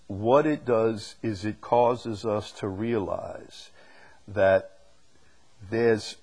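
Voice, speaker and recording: A man teaching a Bible study as a preacher, emphatic, moving between loud declaiming and quieter asides. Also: fairly low pitch, fairly even intonation, extremely slow. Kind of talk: teaching with questions from the congregation.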